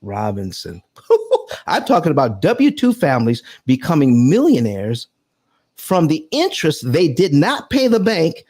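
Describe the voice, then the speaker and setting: A man's voice: a little high, wildly swooping pitch, unhurried, darkish tone. A man hosting a live finance show who talks emphatically, repeats himself and calls the audience folks. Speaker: host.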